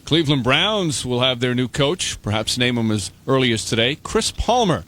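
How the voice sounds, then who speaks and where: A man's voice: mid-range voice, lively intonation, normal speed, sharp tone. Guy reading sports news on a morning radio show, mid range voice. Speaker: sports reporter. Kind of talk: scripted radio sports report with banter.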